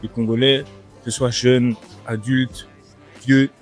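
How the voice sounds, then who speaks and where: A man's voice: medium pitch, flat delivery, slow pace. A young man from France, a rapper guest, chatting casually and slangily over a meal in a restaurant. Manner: laid-back, slangy, repeats himself. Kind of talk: casual interview chat. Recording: music playing in the background.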